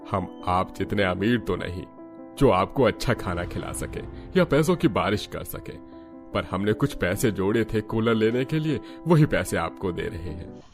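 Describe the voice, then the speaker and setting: A man's voice: fairly low pitch, expressive, moderate pace, over music. A man voicing a humble, apologetic poor father in a cartoon story. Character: humble poor father offering modest help. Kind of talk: Hindi cartoon story dialogue.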